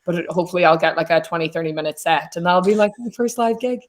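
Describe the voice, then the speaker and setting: A young Irish woman from Donegal with a naturally deep voice, chatting as a singer-songwriter guest on a video call. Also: fast talker. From Donegal, Ireland. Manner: chatty, rambling, self-deprecating. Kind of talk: casual chat.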